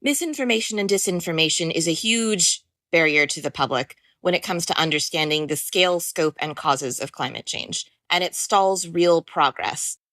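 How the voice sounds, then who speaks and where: A woman explains things as an expert being interviewed. Her voice is on the low side and recorded very dry. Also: lively intonation, normal speed, few pauses, a bit bright, dry recording.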